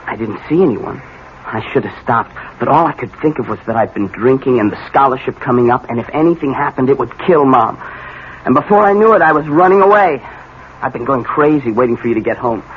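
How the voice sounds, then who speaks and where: An actor playing a frightened, guilt-ridden young American man in a radio drama, speaking anxiously and haltingly. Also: medium pitch, animated, lightly acted. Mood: panicked, guilty. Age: young adult. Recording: tinny, noisy vintage radio recording.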